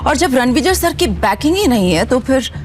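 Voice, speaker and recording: A woman's voice: high-pitched, sing-song, normal speed, noisy background. A woman playing a confident, scheming business villain in a Hindi audio drama, calculating and dramatic.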